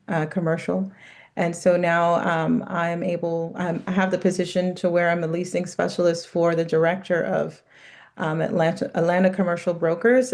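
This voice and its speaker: A woman from Guyana raised in Brooklyn, introducing herself as a guest on a video call, friendly with many pauses. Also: fairly low pitch, a little mellow. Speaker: guest, leasing specialist.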